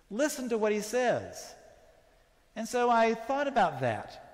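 High-voiced man preaching in a reverberant church. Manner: conversational, standing and gesturing as he preaches. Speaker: priest. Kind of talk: sermon. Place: large church sanctuary. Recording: church reverb from a fixed camera.

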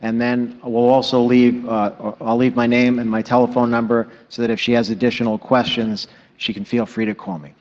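A man serving as city manager, replying formally and calmly at a council meeting. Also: medium pitch. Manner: measured, formal, reassuring. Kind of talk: official response. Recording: dull meeting audio with long hall reverb.

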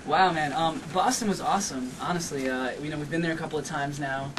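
Rambling, fast-talking man in his thirties. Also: a little high, recorded from a distance, noisy background. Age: 30s. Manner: eager, rambling, lots of fillers. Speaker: band member guest. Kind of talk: casual interview talk. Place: radio studio.

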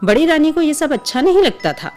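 A woman's voice: sits high, very animated, a little fast, darkish tone. A woman narrating a scripted bedtime story for children as the storyteller. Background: music with chimes.

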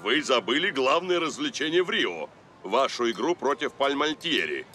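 An actor voicing a calm, stern adult football coach in a dubbed cartoon, giving measured instructions. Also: male voice, medium pitch, soft background music. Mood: instructive. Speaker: team coach. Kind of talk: cartoon dubbing.

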